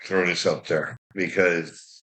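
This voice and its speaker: A man's voice: sits low, steady tone, slow pace, pushed and bright. A man co-hosting a casual recovery podcast, blunt and swearing freely, pushing back as devil's advocate. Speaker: podcast co-host.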